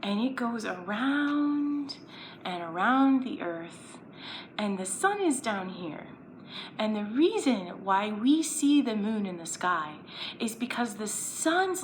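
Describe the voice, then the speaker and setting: A woman's voice: medium pitch, fairly bright tone. A woman presenting a children's educational story time, playfully asking young viewers simple questions as she explains and reads aloud. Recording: roomy echo, background noise.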